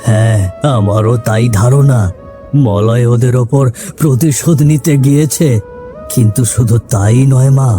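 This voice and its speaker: A man's voice: medium pitch, measured pace, warm tone, faint music behind, close to an everyday voice. A man playing an earnest, guilt-laden older village man explaining things in a cartoon story. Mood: grave, explaining.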